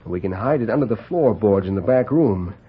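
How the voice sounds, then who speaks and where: An actor playing a wary, sly outlaw sidekick with a husky voice in a vintage radio western. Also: male voice, on the low side, animated, a little fast. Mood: wary, conspiring. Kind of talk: 1940s radio western acting. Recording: muffled vintage radio recording.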